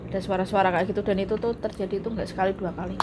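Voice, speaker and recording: A woman's voice: medium pitch, level tone, racing. A woman, casually telling a ghost story and joking around. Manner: chatty, jokes around between spooky anecdotes. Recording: noisy room recording.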